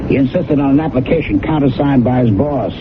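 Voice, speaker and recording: Male actor as brash American radio man, medium pitch, wry, colloquial, skeptical, vintage radio drama, very noisy recording. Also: fairly quick, close to an everyday voice. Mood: suspicious, blunt. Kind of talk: 1970s radio drama acting. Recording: noisy tinny old radio broadcast.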